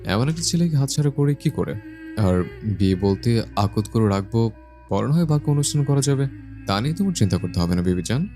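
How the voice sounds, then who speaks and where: A man's voice: medium pitch, animated, fairly quick, mellow, close to an everyday voice. A man playing a self-assured, blunt older brother in a romantic audio story. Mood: calm, assured. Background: background music.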